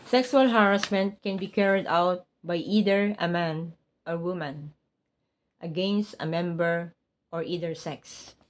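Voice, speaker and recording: Woman, Filipino accent, Tagalog native speaker, lowish voice, careful halting reader, casual vlogger. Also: steady tone, slight background noise. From Philippines. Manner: careful, halting reading with small slips. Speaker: vlogger, hotel housekeeper. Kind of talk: casual vlog, then reading a document aloud.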